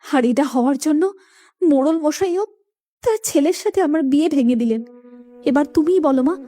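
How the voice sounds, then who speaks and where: A woman's voice: sits high, normal speed, warm tone, natural voice. A woman playing an aggrieved young village daughter in a cartoon, plaintive and protesting. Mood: hurt, protesting. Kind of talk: cartoon voice acting.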